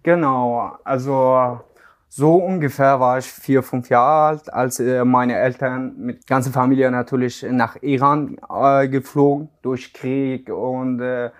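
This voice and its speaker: A man's voice: mid-range voice, fairly even intonation, takes it slowly. Young man, hesitant German. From Afghanistan. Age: 20s. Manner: hesitant, searching for words. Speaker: guest. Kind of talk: casual interview talk.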